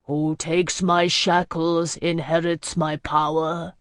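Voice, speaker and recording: A woman voicing an ancient male lord of the sea, slow, grave and booming, in a fantasy audiobook. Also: flat delivery, no echo, clean studio sound. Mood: solemn.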